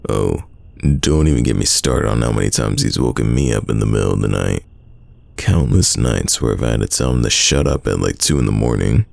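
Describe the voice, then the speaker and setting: Man acting a very deep, gravelly, secretly smitten young guy. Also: no echo, full character voice. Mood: teasing, casual. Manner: casual, conversational, halting, teasing. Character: young man, best friend's sibling, secretly smitten, teasing and guarded. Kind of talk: roleplay audio drama, intimate casual chat.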